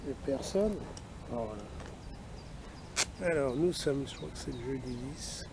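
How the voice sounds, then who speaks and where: A man's voice: mid-range voice, a little slow. A man talking casually outdoors as an amateur radio operator, in vlog style and on radio calls. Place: outdoors in a wooded park. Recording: outdoor ambience, faint background noise.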